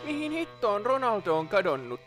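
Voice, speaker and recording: A woman's voice: mid-range voice, sing-song, faint music behind, natural voice. A woman voicing a grumbling, impatient henchman in an amateur cartoon dub. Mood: puzzled, irritated. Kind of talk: animated fandub acting.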